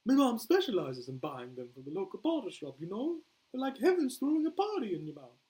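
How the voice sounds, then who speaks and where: Man doing a high, cheeky Australian crook. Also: huge pitch swings, brisk, warm tone, poor audio. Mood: chummy, boastful. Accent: attempted Australian.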